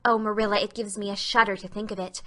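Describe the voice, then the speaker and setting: A woman's voice: mid-range voice, little pitch movement, fast talker, pushed and bright, natural voice. A woman voicing an imaginative, fearful young girl with impassioned, romantic delivery in a dramatic audiobook. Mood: excited, fearful. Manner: breathless, impassioned, romantic storytelling. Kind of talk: dramatic audiobook character reading.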